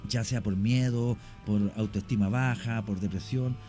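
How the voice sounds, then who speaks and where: Chilean male guest, mid-pitched mellow voice, lecturing at length, faint music behind. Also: fairly even intonation, measured pace. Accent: Chilean Spanish. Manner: long explanations, frequent fillers and restarts. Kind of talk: explanatory radio talk.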